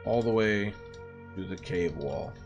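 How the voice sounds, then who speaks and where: A man's voice: sits low, measured pace, dull audio. A man livestreaming in casual, sweary bro slang, chatting and composing a story aloud. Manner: informal, profane, bro slang. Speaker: live-streaming writer and gamer. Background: background music.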